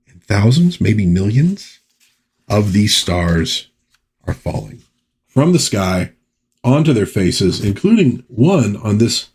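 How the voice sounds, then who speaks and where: A man hosting a casual review, talking in a halting, musing way with pauses and repeated phrases. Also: medium pitch, darkish tone, husky. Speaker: host of a comic book review series.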